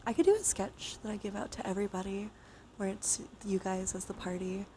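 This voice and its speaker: A woman live streamer speaking softly, often in a whisper, in a gentle ASMR style mixed with casual chat. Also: medium pitch, fairly quick. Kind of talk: ASMR, soft chatty livestream talk. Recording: clear steady background noise.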